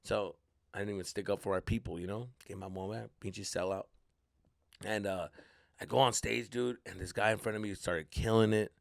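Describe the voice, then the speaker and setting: A man's voice: on the low side, normal speed, gravelly, no echo, studio quality. A Mexican-American man telling stories casually as a podcast host and comedian, slangy and sweary, slipping into Spanish and acting people out. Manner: animated, acting out people's reactions. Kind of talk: casual solo podcast storytelling.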